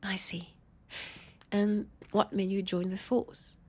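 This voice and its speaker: A woman playing a teasing, haughty vampire detective with a French accent, in an intimate roleplay audio drama, her dry playful lines spaced with lingering pauses. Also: medium pitch, flat delivery, warm tone. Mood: curious, conversational. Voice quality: husky, breathy with creaky edges. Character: teasing, haughty female vampire detective, sardonic new partner.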